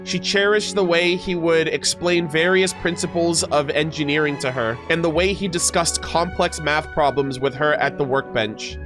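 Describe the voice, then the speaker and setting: A man streaming, reading story text aloud and breaking off for casual, playful commentary. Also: a little high. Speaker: streamer reacting to game lore. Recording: music playing behind the voice. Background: background music.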